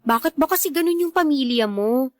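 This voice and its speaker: A woman's voice: high-pitched, expressive, fairly quick, no room sound. Caring young Filipino girlfriend, dry sound. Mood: concerned, affectionate. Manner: casual, earnest. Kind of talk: Tagalog radio drama dialogue.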